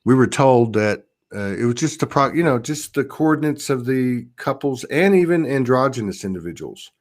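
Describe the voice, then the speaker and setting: A man's voice: mid-range voice, expressive, normal speed. A man talking casually and reflectively about spiritual experiences, circling back and rephrasing as he goes.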